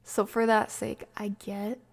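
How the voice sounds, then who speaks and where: Chatty woman streamer with creaky voice. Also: mid-range voice, level tone, measured pace. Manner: rambling, chatty, informal. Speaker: live streamer hosting a stream. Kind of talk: casual livestream chat with viewers, reading comments.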